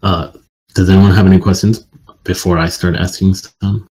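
Deep, husky man asking questions. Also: flat delivery, a little mellow, poor audio. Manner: halting, loosely phrased questions. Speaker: interviewer and Q&A moderator. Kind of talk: informal online Q&A hosting. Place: online group call.

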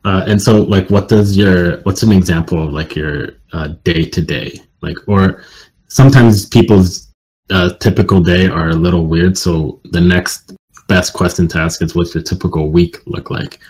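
A man with a very low, husky voice hosts an informal online question session. He phrases his questions loosely and haltingly, feeling his way toward what he wants to ask. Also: steady tone, a little mellow, low-quality recording. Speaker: interviewer and Q&A moderator. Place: online group call.

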